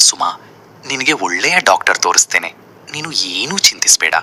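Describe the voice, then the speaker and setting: A man's voice: low voice, sing-song, brisk, sharp tone, husky, full character voice. A man playing a devoted, earnest village husband and father in a moral story. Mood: worried.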